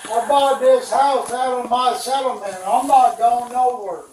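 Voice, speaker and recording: A man's voice: very high voice, steady tone, a little slow, a little mellow, a little hiss or hum. Insistent man, far from the mic. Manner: insistent, repetitive. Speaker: husband in a domestic dispute with police present. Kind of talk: defensive spontaneous speech to police. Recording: distant microphone.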